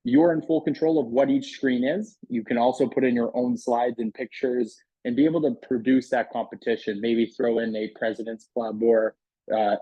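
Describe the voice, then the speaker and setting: A man's voice: medium pitch, husky, no echo, muffled recording. A man giving a casual, conversational walkthrough as a product demonstrator, explaining features in an informal sales style.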